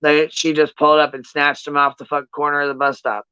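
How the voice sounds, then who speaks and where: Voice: mid-range voice, little pitch movement, fast, bright, forceful tone, smooth, no echo, lo-fi sound. A livestream host giving casual, blunt commentary to viewers in slangy, profanity-laced speech, often repeating points for emphasis.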